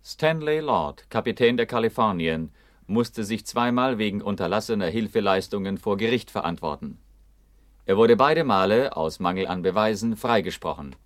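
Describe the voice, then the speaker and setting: Actor as deep, dry-sounding stern male narrator. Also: lively intonation, normal speed, no room sound, lightly acted. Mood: sober. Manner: terse, formal, pointed. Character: stern male narrator listing findings of a disaster inquiry. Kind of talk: documentary radio drama narration.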